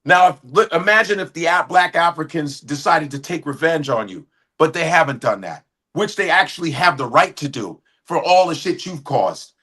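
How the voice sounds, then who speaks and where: A man's voice: a little high, expressive, moderate pace, pushed and bright, husky. A middle-aged man giving blunt, profane, indignant opinions as a guest commentator. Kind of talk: heated opinion talk.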